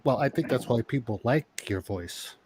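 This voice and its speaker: A man chatting casually on a live show in a halting, agreeable manner. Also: mid-range voice, lively intonation, a little mellow, a little hiss or hum. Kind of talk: casual chat.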